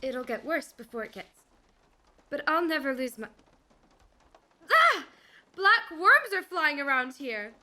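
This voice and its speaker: A woman's voice: high-pitched, big pitch swings, takes it slowly, long pauses, pushed and bright, exaggerated voice. An actress playing a frail, despairing young woman in delirium in a radio drama, faint and dreamy. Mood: feverish, fading. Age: young adult.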